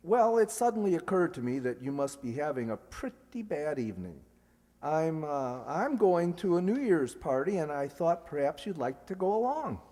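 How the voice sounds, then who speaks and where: Guy doing a shy polite rich young suitor, old radio comedy, kinda high lilting voice, big hall echo. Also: sing-song, moderate pace, mellow. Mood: hesitant, courteous. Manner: hesitant, courteous. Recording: echoey hall with long reverb.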